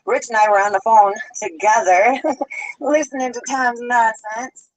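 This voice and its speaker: A woman's voice: fairly high pitch, fairly even intonation, unhurried, no room sound, low-quality recording. A woman chatting casually on a livestream, greeting viewers by name and rambling from topic to topic. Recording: sped-up archived livestream audio.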